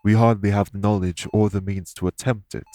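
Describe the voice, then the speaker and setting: Audio roleplay lead: male actor as a solemn, remorseful fae man, deep-pitched, earnest and deliberate, recorded dry. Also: fairly even intonation, warm tone, dry recording, natural voice. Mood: grave, explanatory. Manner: measured, formal, earnest, deliberate phrasing. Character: solemn, guilt-ridden male fae explaining magic to a patient. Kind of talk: audio roleplay acting, addressed to listener.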